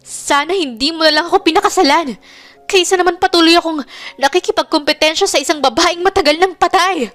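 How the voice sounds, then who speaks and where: A woman voicing a hurt, jealous young wife in a romance audio drama, pleading and angry in shrill outbursts. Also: a little fast, fairly bright tone, faint music behind. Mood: furious.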